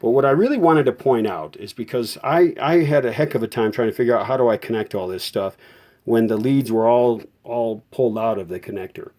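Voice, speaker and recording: A man hosting an informal repair tutorial, explaining technical details off the cuff in a halting way and often correcting himself. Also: mid-range voice, darkish tone. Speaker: host of a vintage radio repair tutorial. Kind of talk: unscripted hands-on technical explanation.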